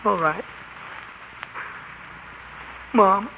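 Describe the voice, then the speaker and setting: A man's voice: high voice, unhurried, creaky. An actor playing an earnest, impulsive teenage boy, pleading and stammering eagerly in 1940s radio style. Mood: tender, remorseful. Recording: tinny, noisy 1940s radio recording.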